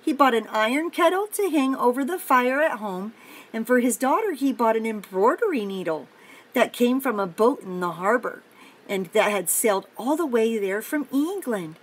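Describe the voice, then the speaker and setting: An older woman with a fairly high, slightly husky voice reads a storybook to young children. She breaks off for simple, encouraging asides, pointing things out as she goes. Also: animated, moderate pace. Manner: encouraging, explains pictures simply to young listeners. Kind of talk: picture-book read-aloud with chatty asides to children.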